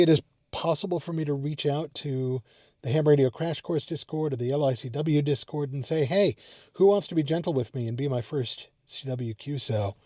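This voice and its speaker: Mellow, rambling man chatting in a car. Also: medium pitch, dry recording. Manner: rambling, self-deprecating, thinking aloud. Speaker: amateur radio vlogger. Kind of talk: casual unscripted vlog talk. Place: inside a parked car.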